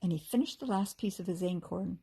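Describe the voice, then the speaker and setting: A woman reading a storybook aloud as a teacher would to children, with expressive delivery and character voices. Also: lowish voice, fairly quick, gravelly, slightly rough.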